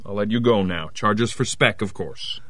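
An actor playing a cautious, condescending male publishing editor in an audio drama, officious and measured. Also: fairly low pitch, fairly bright tone, lightly acted. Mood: dismissive.

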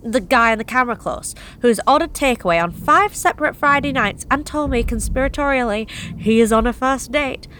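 A woman's voice: mid-range voice, fairly bright tone, a little hiss or hum. Young woman, wry confessional narration. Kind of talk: first-person horror story reading.

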